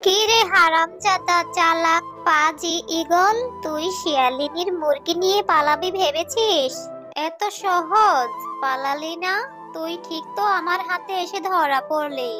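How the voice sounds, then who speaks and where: High-pitched scolding cartoon vixen wife voiced by a woman. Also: a little slow, music in the background. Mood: angry scolding. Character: scolding, house-proud vixen wife in a fable. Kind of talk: cartoon character acting.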